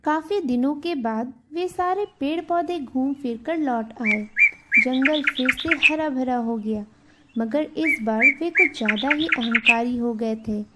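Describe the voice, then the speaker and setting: High-pitched woman narrating a children's story. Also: measured pace, sharp tone, a little hiss or hum.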